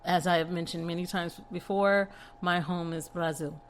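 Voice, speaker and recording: Low-voiced American woman podcaster. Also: steady tone, normal speed, slight background noise. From Texas, United States. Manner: chatty, frank, emphatic, with light laughs. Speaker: podcast host and tarot reader. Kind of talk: casual monologue to listeners.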